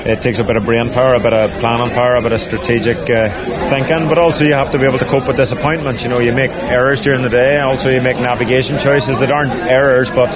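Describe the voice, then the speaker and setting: A man's voice: medium pitch, fairly even intonation, quick pace, over loud music, over a noisy crowd. A man competitor speaking thoughtfully in a casual interview.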